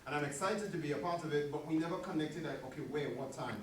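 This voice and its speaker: A man's voice: a little high, fast talker. A man in his forties from Trinidad and Tobago with a Trinidadian accent, a school principal addressing a community meeting in a friendly, joking way. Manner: friendly, joking, talks to the room. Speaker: school principal, guest speaker. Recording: very echoey hall, distant mic, audible room noise.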